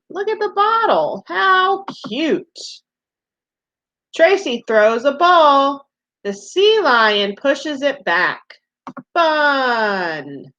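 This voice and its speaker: Lady teacher reading to little kids, really sing-song up and down, slow, encouraging. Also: medium pitch, fairly bright tone. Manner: simple, encouraging talk to young children, with questions. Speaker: teacher of young children. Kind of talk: children's read-aloud lesson.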